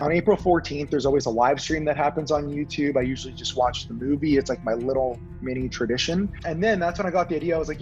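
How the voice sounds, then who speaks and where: A man's voice: a little high, lo-fi sound. A young man from Honduras, a guest telling stories in a chatty way with many "like" fillers. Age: young adult. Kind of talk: casual storytelling. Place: Toronto.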